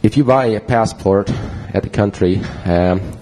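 A man's voice: fairly low pitch, a little slow, dark tone, faint music behind, poor audio. A man with a Swedish accent presenting a talk with slides to an audience, casual and rambling with fillers and restarts. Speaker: conference presenter.

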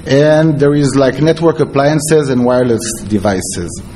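Israeli guy giving a tech talk, stumbly second-language english, kinda dark relaxed voice, bit of room noise behind. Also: mid-range voice, rough recording. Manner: halting, non-fluent English. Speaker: security researcher, conference speaker. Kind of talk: conference presentation. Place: conference talk before an audience.

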